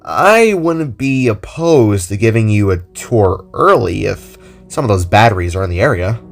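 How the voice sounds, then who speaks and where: A man's voice: on the low side, big pitch swings, moderate pace, vocal fry, soft background music. A man voicing a playful younger brother who talks in a casual, teasing way. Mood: eager.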